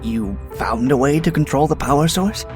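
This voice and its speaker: A man playing a polite, hesitant scientist who speaks haltingly and deferentially in an amateur audio drama. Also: fairly high pitch, animated, over music, natural voice. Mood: hesitant, puzzled. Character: hesitant, polite male scientist, software engineer.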